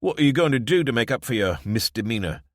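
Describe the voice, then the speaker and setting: A man's voice: mid-range voice, lively intonation, fast, dry recording, studio quality, pitched above the performer's own voice, lightly acted. A man voicing a wry, understated man with a London accent who reluctantly leads the town's police. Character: wry middle-aged man, reluctant town police chief.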